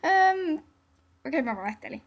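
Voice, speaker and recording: A woman voicing a frazzled, hot-tempered young guardian in a comedy cartoon dub, excitable and blustering. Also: high voice. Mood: flustered, evasive. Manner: excitable, blustering, foul-mouthed.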